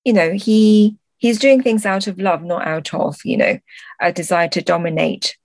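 Woman interviewee, lowish register, dry recording, reflective and halting, conversational delivery with self-corrections. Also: steady tone, a little mellow, dry recording. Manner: hesitant, searching for words, self-correcting. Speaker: podcast guest, translator and book editor. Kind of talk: thoughtful conversational interview answers.

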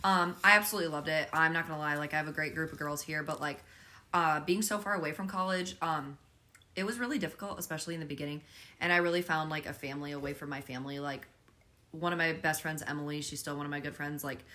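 A woman's voice: low-pitched, fairly even intonation, fast talker, bright, forceful tone. A young adult woman, a podcast guest, telling her story in casual chat with lots of fillers. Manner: earnest, filler-heavy storytelling.

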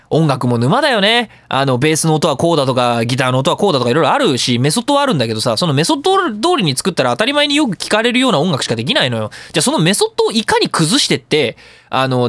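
A man's voice: a little high, a bit bright, no room sound. A man hosting a radio talk show, explaining things in a chatty, opinionated, colloquial way. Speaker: radio show host and audio engineer. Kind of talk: casual talk radio monologue explaining sound editing.

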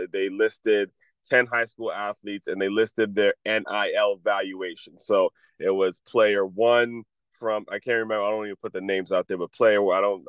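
Male podcast host, congested, low voice, rambling casual delivery. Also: no room sound. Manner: rambling with frequent restarts. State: congested, under the weather. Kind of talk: casual solo talk, advice to athletes.

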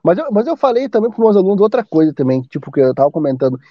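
Chatty man, lively. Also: high-pitched, dry recording, dull audio. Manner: chatty, laughing often, drawn-out asides. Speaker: podcast host and teacher. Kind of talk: casual chat.

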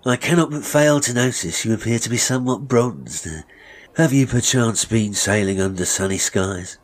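A man's voice: medium pitch, moderate pace, pitched above the performer's own voice, close to an everyday voice. A man voicing a menacing, mocking murderous barber in a Victorian melodrama story. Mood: oily politeness. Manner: mocking, threatening, chuckling, oily when polite. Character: menacing, sneering murderous male barber, gloating villain.

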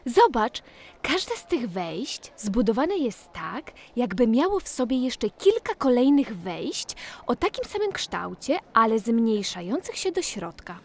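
A woman's voice: a little high, sing-song, a little slow, heavily acted. A woman playing a curious, bookish young girl in a children's audio play, eagerly explaining things like a big sister. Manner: eager, explanatory, teaching her brother.

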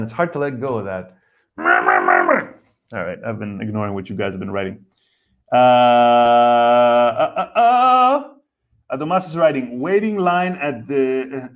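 A man, a comedian hosting a casual podcast, rambling and animated with many fillers while he reads viewer comments. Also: on the high side. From Israel. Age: 40s.

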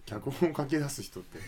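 A man chatting casually as a podcast host. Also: fairly high pitch. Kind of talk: casual chat.

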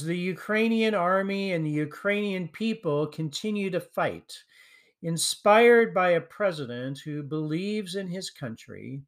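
Slow, measured male podcast preacher. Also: on the high side. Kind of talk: scripted sermon podcast.

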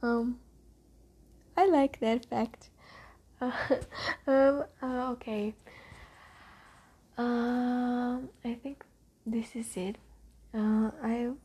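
A teenage girl from Brazil, a native Portuguese speaker with a Brazilian accent, talking hesitantly and softly in a casual spoken diary. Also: a little high, fairly even intonation, extremely slow, long pauses, breathy edge. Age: teens. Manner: hesitant, many ums, often whispery and soft. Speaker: diarist.

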